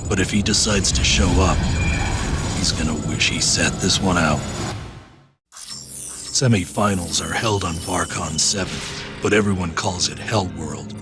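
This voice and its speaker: An actor playing a tough, sardonic combat racer, narrating game briefings in a dry way over loud music. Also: male voice, low voice, unhurried, pauses often. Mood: grim, confident. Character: gritty, sardonic male combat racer briefing his team. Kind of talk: scripted game cutscene narration.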